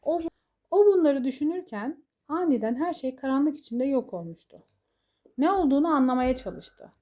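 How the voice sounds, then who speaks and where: A woman tells a children's fairy tale in a high voice. Her tone is soft-edged and mellow. She follows a script as a storyteller. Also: expressive, normal speed.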